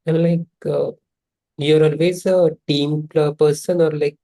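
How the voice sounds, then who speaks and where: A man asks his questions with a mellow, warm tone. He pauses and restarts as he looks for the right words, keeping the chat casual. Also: on the high side, flat delivery, creaky. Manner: halting, searching for words. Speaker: interviewer, healing center owner.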